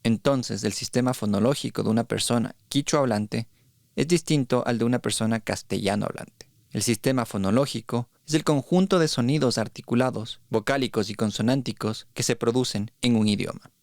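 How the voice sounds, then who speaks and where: A man giving scripted explanatory narration in a clear, didactic way. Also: medium pitch, a little fast, a little mellow, no echo, clean studio sound.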